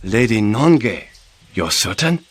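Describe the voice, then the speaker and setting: An actor playing a stern, authoritative Korean prime minister in a dramatic video game cutscene. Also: male voice, on the low side, big pitch swings, slow. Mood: formal, questioning. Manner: authoritative, formal, solemn. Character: stern, commanding Korean prime minister of war-torn kingdom. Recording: game audio with music underneath.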